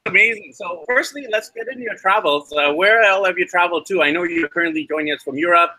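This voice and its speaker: A man hosting a travel podcast over a video call from a hostel, chatty and filling pauses with "uh". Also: high-pitched, pushed and bright, no echo, rough recording. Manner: chatty, many uh fillers. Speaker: podcast host. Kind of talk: podcast interview. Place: hostel with weak Wi-Fi.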